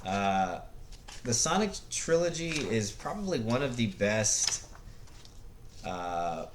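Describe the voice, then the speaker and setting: Male video host, lowish voice, off-the-cuff and halting, roomy echo with faint noise. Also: very animated. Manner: halting, searching for words. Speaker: video host reviewing movies. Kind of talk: casual unscripted movie commentary. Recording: echoey room, faint background noise.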